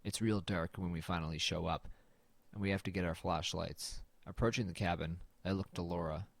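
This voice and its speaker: Man acting low-voiced, brooding road-trip narrator. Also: fairly even intonation, brisk, vocal fry, dry recording. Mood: somber narrating. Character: weary, wry young man narrating a bleak road trip. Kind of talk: scripted first-person narration and low-key dialogue in an audio drama.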